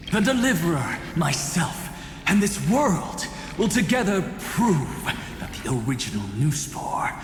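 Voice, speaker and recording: A proud, defiant sage speaks high for a male voice, slowly and with swooping emphasis. The delivery is mocking, theatrical video game drama. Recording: echoey long reverb, faint music.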